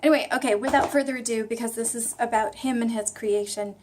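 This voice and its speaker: A woman's voice: on the high side, fairly even intonation, quick pace, slight background noise. A woman hosting a casual vlog, chatting reflectively in a hesitant, musing way as she thinks aloud. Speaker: vlog host. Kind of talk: casual reflective chat about reading.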